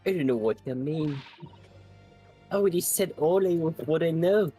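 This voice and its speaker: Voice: mid-range voice, moderate pace, warm tone, soft background music, natural voice. A performer voicing a young street urchin girl in a Victorian courtroom, slangy and hesitant, in a video game fan dub. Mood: defensive, flustered.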